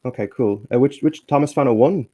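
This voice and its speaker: A man hosting a casual live stream, slightly hoarse and halting, with many restarts. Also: medium pitch, warm tone, highs cut off. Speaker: stream host.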